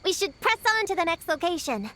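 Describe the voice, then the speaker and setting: A woman voicing a small, bookish girl in a video game, who takes charge briskly yet gets flustered easily. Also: very high-pitched, sing-song, fairly bright tone, exaggerated voice. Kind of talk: video game character dialogue.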